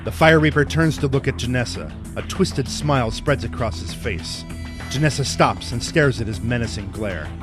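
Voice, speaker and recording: An actor playing the dramatic narrator of a superhero story, reading scripted action. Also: male voice, medium pitch, moderate pace, natural voice. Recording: dramatic music under the voice.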